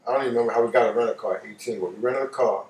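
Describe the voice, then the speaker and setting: A man in his late fifties with a New York accent, telling a personal story casually in an animated way, repeating phrases for emphasis. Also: medium pitch, very fast. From New York, United States. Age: late 50s. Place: living room, seated on a couch. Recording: roomy, voice distant from microphone.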